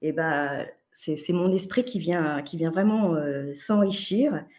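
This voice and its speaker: A woman's voice: sits low, takes it slowly. Chatty French woman in her fifties. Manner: animated storytelling, many restarts and fillers. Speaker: podcast guest. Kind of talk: casual podcast chat.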